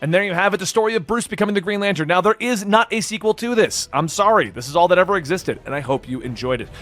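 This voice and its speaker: Male narrator, dramatic scripted comic-book storytelling, raised pitch, faint music bed. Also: expressive, quick pace, a bit bright.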